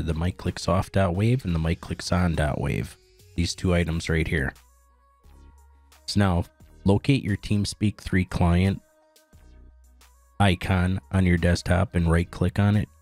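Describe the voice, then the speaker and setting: Very deep-voiced man giving a tutorial. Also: darkish tone, no echo. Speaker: tutorial host. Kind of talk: step-by-step software tutorial narration.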